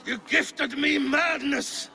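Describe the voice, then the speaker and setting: An actor playing an aging, proud and suspicious Viking warlord in a video game, with a gravelly voice. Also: male voice, very high voice, fairly even intonation, slow, sharp tone, exaggerated voice. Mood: bitter accusation. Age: older.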